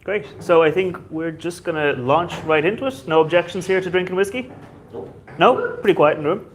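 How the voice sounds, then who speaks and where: A man's voice: high voice, a little fast, a little hiss or hum. A man hosting a whiskey society tasting night, chatty and loose, with many fillers.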